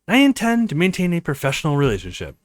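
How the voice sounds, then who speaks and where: A man reads as a young woman in a visual novel, hurt and coolly reproachful. The phrases are clipped, the pitch swings widely, and the sound is dry. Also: huge pitch swings, fast talker, no room sound.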